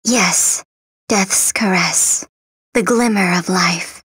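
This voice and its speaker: A woman voicing a gentle, melancholy young princess of death in a video game, soft, breathy, solemn and wistful. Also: lowish voice, takes it slowly, dry recording, full character voice. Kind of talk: video game character acting.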